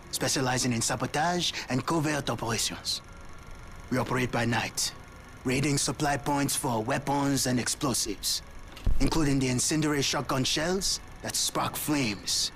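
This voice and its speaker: An actor playing a grave, fervent French Resistance commander with a French accent, recruiting in a video game film. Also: male voice, medium pitch, close to an everyday voice. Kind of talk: military recruitment film narration.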